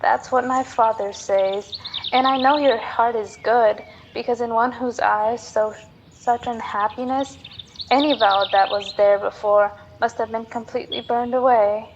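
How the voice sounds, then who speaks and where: A woman's voice: a little high, steady tone, a little slow, lo-fi sound, a little hiss or hum. A young woman playing a minister's trusting daughter in an amateur radio drama, earnest and plain-spoken. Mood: trusting, innocent. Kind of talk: student radio drama acting.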